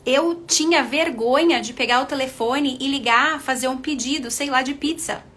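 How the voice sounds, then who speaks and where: A woman's voice: high voice, moderate pace, fairly bright tone. A middle-aged Brazilian woman with a Brazilian Portuguese accent, a confidence coach chatting casually to her viewers, addressing them as friends. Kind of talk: casual livestream talk to followers.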